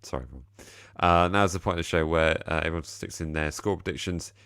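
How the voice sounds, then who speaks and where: A man's voice: low-pitched, fast talker, creaky, a bit bright, smooth, no room sound, studio quality. A tired man hosting a casual football chat, rambling and self-deprecating. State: tired, slightly deeper voice than usual. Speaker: podcast host.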